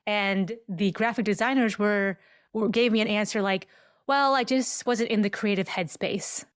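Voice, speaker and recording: A woman's voice: mid-range voice, moderate pace, smooth, dry recording, studio quality. A woman hosting an interview in a casual, informal chat style, telling anecdotes and voicing quoted remarks. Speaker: podcast host.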